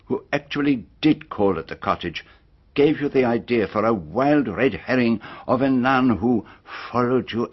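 An actor playing a shrewd older detective, setting out his case in a deliberate, formal way for a radio drama. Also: medium pitch, husky. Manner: deliberate, formal, precise accusing exposition. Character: shrewd, methodical older male detective explaining a crime. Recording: dull band-limited radio drama recording.

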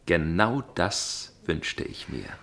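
A low-pitched gentleman storyteller from a radio play. He is inquisitive and suspicious. His delivery is formal and measured, with a probing edge. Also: very animated, creaky, full character voice. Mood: suspicious, intrigued. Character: inquisitive gentleman narrator, suspicious dinner guest with probing temper. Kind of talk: German radio play narration and dialogue.

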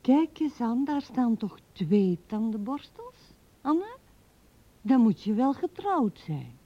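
A neglected young wife in a 1970s radio play. She speaks in a fairly high voice with wry, pointed sarcasm, on a slightly dull old recording. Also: very animated, slow pace, exaggerated voice. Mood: hurt sarcasm. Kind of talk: 1970s radio drama acting.

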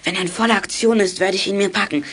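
A woman's voice: mid-range voice, fairly even intonation, fast talker, a bit bright, breathy edge. A woman playing a plucky boy who leads a young detective gang in a children's radio play. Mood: decisive.

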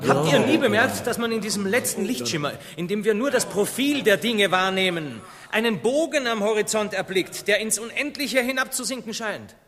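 An actor playing an eager, stubborn young explorer in a theatrical radio play, explaining with fervour. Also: male voice, high-pitched, animated, moderate pace, hardly any pauses, fairly bright tone. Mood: rapt. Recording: long hall reverb, music behind.

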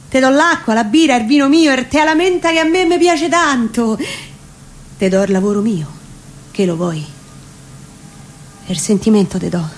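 A woman with a Roman accent reciting a theatrical spoken-word monologue, deliberately, phrase by phrase. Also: a little high. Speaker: reciting performer. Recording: faint ambient background noise.

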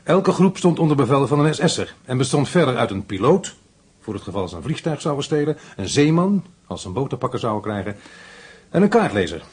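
A man's voice: mid-range voice, sing-song, fairly quick, a little mellow, slightly rough. An actor playing a methodical intelligence officer briefing his superior in a radio drama, explaining in an insistent way.

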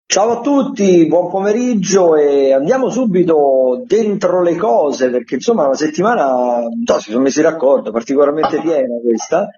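Muffled, mellow male theatre critic. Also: on the high side, animated, normal speed, few pauses. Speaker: theatre critic presenting weekly listings. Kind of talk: semi-scripted radio listings with informal commentary.

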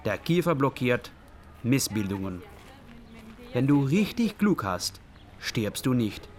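An actor playing a grim radio play narrator, stark and deliberate, speaking in clipped phrases. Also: male voice, mid-range voice, sing-song. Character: grim male narrator reciting a disease's course like tragedy.

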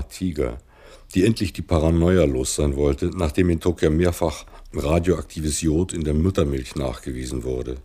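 A man narrating a radio play as a concerned islander reporting on life after a nuclear disaster, reflective and husky. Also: very deep voice, dark tone.

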